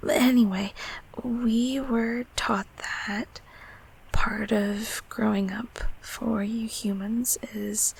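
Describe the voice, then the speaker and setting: A woman plays a nurturing, bashful monster from a childhood closet, in an intimate audio roleplay. The voice is soft and fairly high, with a very breathy, near-whispered texture and a crackle of fry. The delivery is hesitant and tender, soothing someone they once watched over. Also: full character voice. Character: gentle, shy, nurturing childhood closet creature, comforting a grown child.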